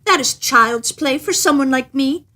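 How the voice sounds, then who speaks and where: A woman's voice: high-pitched, unhurried, pushed and bright, pitched above the performer's own voice. A woman voicing a cheerful, boastful little male tailor in a storybook, with a jaunty, emphatic delivery. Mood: cocky.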